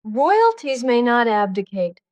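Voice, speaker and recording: A man voicing a spirited, flirtatious English duchess in an audiobook, with a coy falsetto and quick retorts. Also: big pitch swings, no echo, exaggerated voice. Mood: coy, playful. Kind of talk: audiobook character dialogue.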